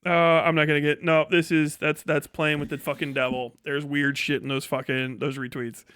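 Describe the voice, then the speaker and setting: Male American streamer, higher register, dry sound; sweary, opinionated, off-the-cuff commentary. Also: flat delivery, moderate pace, a bit bright, smooth, no echo. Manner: blunt, opinionated, sweary ranting. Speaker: livestream host. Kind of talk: casual, profane livestream commentary.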